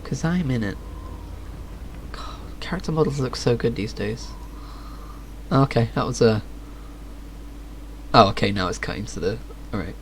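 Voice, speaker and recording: A man's voice: medium pitch, expressive, normal speed, pauses often, slight background noise. A man doing casual, flippant, sweary live commentary as a game streamer. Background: game music.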